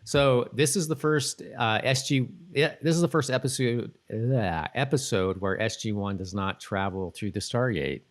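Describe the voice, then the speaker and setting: A middle-aged man co-hosting a podcast in casual chat, hedging and often correcting himself as he goes. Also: mid-range voice. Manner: hedging, halting, self-correcting. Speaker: podcast co-host.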